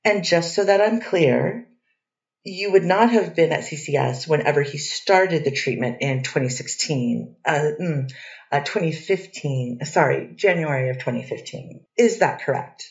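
A woman's voice: low voice, a little slow, gravelly, a little mellow, highs cut off. Hedging woman questioning a witness, echoey. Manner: hesitant, hedging questions with many restarts. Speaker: defense attorney. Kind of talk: courtroom questioning. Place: courtroom. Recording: distant echoey courtroom audio.

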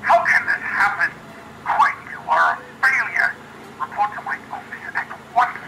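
Man acting a gravelly, menacing villain boss. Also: high-pitched, huge pitch swings, measured pace, full character voice. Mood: cold menace. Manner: imperious, threatening. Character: menacing, callous male park boss, cold villain. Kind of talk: audio drama acting. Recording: noisy, distant, cluttered background.

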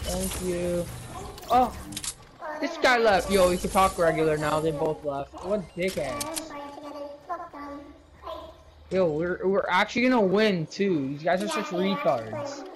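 A man's voice: high voice, animated, slow pace, long pauses, a crowd in the background. A teenage male gamer chatting casually with teammates in slangy, trash-talking bursts. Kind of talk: casual team game chat. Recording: noisy game voice chat with clicks.

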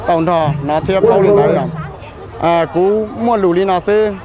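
A man's voice: high-pitched, moderate pace. A young man from Thailand, an actor, answering interview questions outdoors at a festival, with hesitant speech and many fillers. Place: outdoor festival grounds with a large crowd. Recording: loud crowd noise behind.

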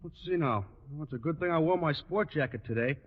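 Eager high-pitched teenage schoolboy. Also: male voice, expressive, fairly quick, husky. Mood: eager, folksy. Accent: American. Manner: gosh-gee, folksy, ungrammatical earnestness. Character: eager, naive, good-natured teenage schoolboy. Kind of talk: 1940s radio sitcom acting. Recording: muffled, noisy old radio recording with clicks.

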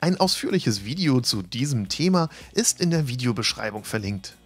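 A man reading a scripted voice-over for an event report in a lively, upbeat way, with a slightly husky voice. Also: mid-range voice, normal speed. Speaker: channel host narrating event report. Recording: faint music bed behind voice.

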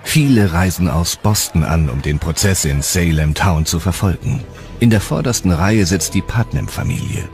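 A man's voice: sits low, normal speed. A man reading scripted, dramatic narration as the narrator of a historical documentary. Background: music.

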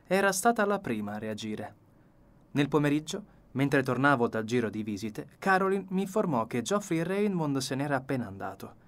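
A man's voice: mid-range voice, big pitch swings, normal speed. A man reading a novel as an audiobook narrator in scripted literary narration.

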